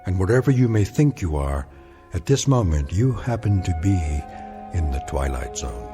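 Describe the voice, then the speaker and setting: A man narrating a radio drama in a measured, solemn, ominous manner, as the grave teller of eerie tales. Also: low-pitched, sing-song, loud music behind the voice.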